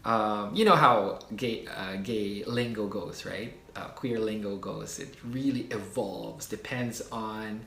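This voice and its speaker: Slow-talking Filipino man in an echoey room. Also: lowish voice, vocal fry. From Philippines. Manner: relaxed, many fillers and restarts. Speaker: video host. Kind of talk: casual explainer talk.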